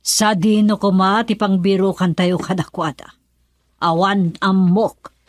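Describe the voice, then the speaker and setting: A woman's voice: mid-range voice, little pitch movement, a little slow, no room sound, natural voice. A woman playing an indignant, accusing mother in an Ilocano radio drama, scolding and insistent. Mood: stubborn, worried. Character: indignant, accusing mother of a runaway young daughter.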